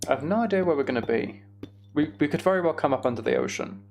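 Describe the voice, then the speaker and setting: A man's voice: on the high side, animated, fast, gravelly, a little mellow, slight background noise. A man chatting casually as he livestreams a game, with self-deprecating, muttered asides to himself. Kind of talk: casual gaming livestream chat with commentary.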